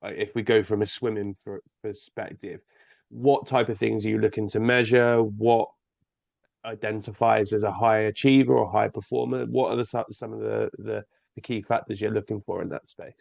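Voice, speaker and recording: Male host, gravelly and low, asks rambling layered questions, dry close sound. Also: fairly even intonation, normal speed, darkish tone, dry recording. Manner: rambling, hedging questions with restarts. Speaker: podcast host. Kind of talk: conversational interview questions. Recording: dry, dull lo-fi audio.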